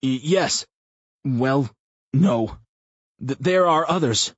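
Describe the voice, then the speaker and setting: A man's voice: on the high side, big pitch swings, unhurried, a bit bright, breathy edge, no room sound, pitched above the performer's own voice, exaggerated voice. A male narrator voicing a frightened man who stammers and pleads in an audiobook. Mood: scared, pleading. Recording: muffled lo-fi audio.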